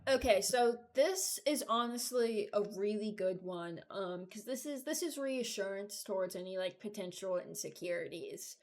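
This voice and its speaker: A young adult woman giving casual, unscripted reaction commentary, hesitant and thinking out loud. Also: medium pitch, lively intonation, normal speed, hardly any pauses. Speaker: video creator ranking game voice lines.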